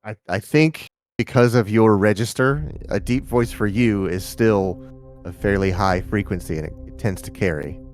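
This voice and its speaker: A man's voice: on the low side, moderate pace, no room sound, soft background music. A man hosting a live stream, chatting casually and muttering to himself while he sets up. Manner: mumbling asides while setting up. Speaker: streamer host.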